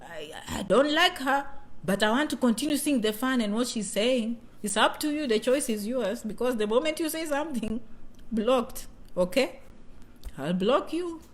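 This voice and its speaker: Kenyan woman, vlogger, chatty livestream talk, fairly high voice. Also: expressive, normal speed. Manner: chatty, repeats herself, keeps asking "you know?" and "okay?". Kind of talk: casual livestream chat reading comments.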